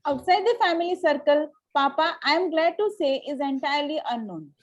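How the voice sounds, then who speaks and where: Woman playing haughty high-pitched Victorian society lady. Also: expressive, a little fast, dull audio. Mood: haughty, pronouncing. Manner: formal, pronouncing, self-assured. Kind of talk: amateur play table read.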